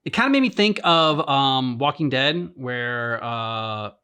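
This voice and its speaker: A man with a mid-pitched voice gives casual reaction commentary. He meanders and hesitates, piecing thoughts together as he goes. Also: lively intonation, takes it slowly, pushed and bright, clear, resonant tone. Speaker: reaction video host. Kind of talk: casual chat, game commentary.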